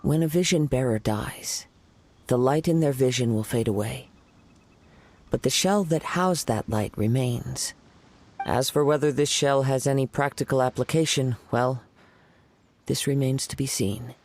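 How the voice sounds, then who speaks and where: A man voicing a calm young male wandering poet in a game cutscene, soft-spoken and measured. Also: medium pitch, slight background noise, natural voice. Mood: thoughtful.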